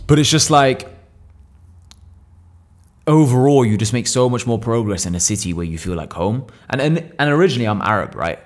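A young man in his twenties with an English accent, talking bluntly on a podcast and swearing freely at a table mic indoors. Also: medium pitch. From England. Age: 20s. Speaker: podcast guest, business owner. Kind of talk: casual podcast conversation. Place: room seen, small room at a table indoors. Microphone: boom mic on an arm.

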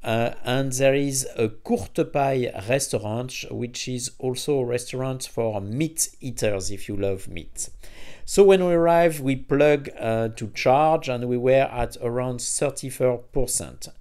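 A man narrating a travel vlog about a charging stop and a city visit, speaking haltingly with many fillers and phrasing. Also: mid-range voice, darkish tone. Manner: halting, frequent uh fillers, non-native phrasing. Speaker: travel vlogger reviewing EV chargers.

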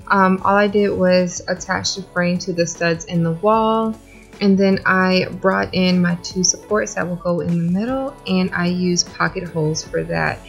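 A woman's voice: fairly low pitch, steady tone, measured pace, gravelly, highs cut off, soft background music. A young woman casually explaining, step by step, how she builds a bench in a closet. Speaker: DIY vlogger. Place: small closet in a house.

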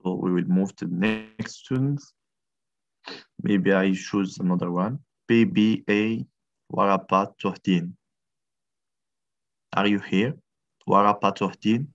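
Male lecturer, very low voice, dull video-call sound; friendly, encouraging, repeats his questions. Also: steady tone, slow, long pauses. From Morocco. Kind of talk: online class introductions. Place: indoor room, tiled wall.

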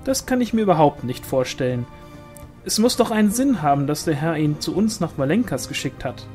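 A man's voice: on the high side, expressive, brisk, a little mellow, soft background music, pitched above the performer's own voice, lightly acted. A man voicing a gossipy, insistent village woman in an audiobook story, eager and chatty. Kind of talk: audiobook character dialogue.

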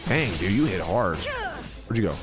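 A young man doing live gameplay reactions as a streamer, excitable, slangy and full of exclamations. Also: on the low side, measured pace. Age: young adult. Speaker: game streamer. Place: small home streaming room. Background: game music.

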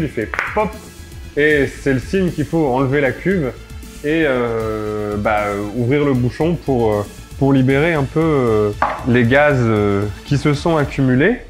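A young man with a head cold, casually explaining his home film developing with many fillers and restarts. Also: medium pitch. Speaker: film photography youtuber. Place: home darkroom corner, then a dim studio room. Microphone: camera mic, then a studio mic close up.

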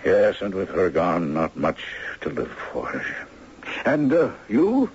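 An actor playing a fond, wry retired judge in his seventies in a 1970s radio drama, raspy and courtly. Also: sits low, wildly swooping pitch, slow pace, darkish tone, a little hiss or hum, exaggerated voice. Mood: weary. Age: 70s. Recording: dull 1970s radio broadcast recording.

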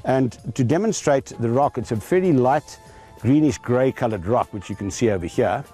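Older man, geologist, technical precise talk, warm mellow voice, outdoors, faint music. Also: medium pitch, expressive, moderate pace. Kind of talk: explanatory field talk. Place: outdoors in dry bush.

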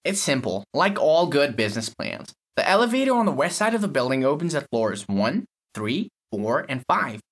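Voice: mid-range voice, sing-song, normal speed. An actor playing an impatient, reluctant lab technician in an audio story, explaining with exasperation. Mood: dry explaining.

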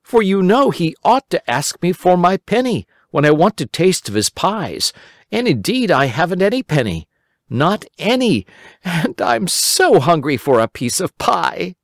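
A simple, round-faced young boy with a high, pleading, whiny voice that swings up and down, as a man puts him on. He frets easily and sounds hungry and helpless. The recording is dry and close. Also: sing-song, unhurried, dry recording, exaggerated voice.

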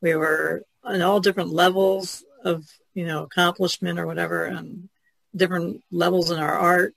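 Female podcast guest, low-key and hesitant, vocal fry, dry close sound, conversational. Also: level tone, moderate pace, a bit bright, no room sound, rough recording. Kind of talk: casual chat.

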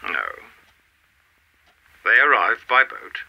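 A man playing a mysterious, coldly formal messenger in a radio play, speaking in a measured, cryptic way. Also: on the low side, very animated, creaky, lo-fi sound, full character voice.

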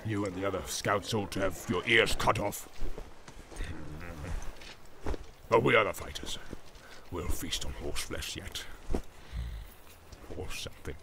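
A man voicing a brutal, jeering orc captain with a gravelly growl, barking and taunting in a fantasy audiobook. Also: sits low, normal speed, noisy background, voice pushed down. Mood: grim, menacing.

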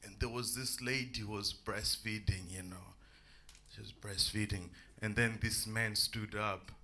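A young man from Zimbabwe doing a stand-up set on a comedy club stage with a handheld mic, animated and laughing at his own jokes, jumping into shouted bits. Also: on the low side, unhurried. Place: comedy club stage before a live audience.

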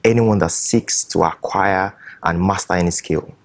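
A man from Nigeria hosting a studio interview, introducing his guest in a formal, measured way. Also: low-pitched, dry recording. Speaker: program host and interviewer.

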